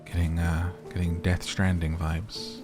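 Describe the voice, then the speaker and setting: Low-voiced man chatting through a cozy game. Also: flat delivery, measured pace. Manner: easygoing, chatty, addresses viewers as guys. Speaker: gaming YouTuber. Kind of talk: relaxed game playthrough commentary. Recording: game music playing behind. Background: soft game music.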